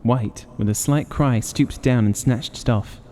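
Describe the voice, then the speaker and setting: A man's voice: mid-range voice, measured pace, darkish tone. A man narrating a gothic story as a scripted audiobook. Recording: long reverberant hall-like echo.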